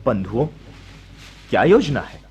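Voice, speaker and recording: Solemn, declamatory monk teacher. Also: male voice, mid-range voice, big pitch swings, darkish tone. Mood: calm, fearless. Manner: declamatory, preaching, measured moral authority. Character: solemn, fearless male Jain monk and teacher, righteous. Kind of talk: Hindi radio drama acting, formal oratory. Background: faint music.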